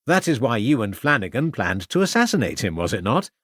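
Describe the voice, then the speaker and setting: Man voicing a stern interrogating detective, lively delivery, dry close recording. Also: mid-range voice, brisk, no room sound. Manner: hectoring questions. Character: stern, persistent male police interrogator. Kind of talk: audiobook character voice.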